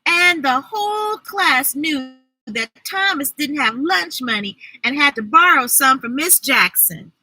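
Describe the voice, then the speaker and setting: A woman reading a children's story aloud, expressive and deliberate, adding her own asides. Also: high voice, rough recording. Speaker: storyteller, host.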